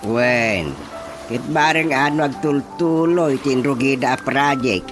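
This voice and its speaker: A man's voice: mid-range voice, slow pace, close to an everyday voice. An actor playing a mature male village chief in an Ilocano radio drama. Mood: pondering. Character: male village chief and father, middle-aged or older. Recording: music under the dialogue.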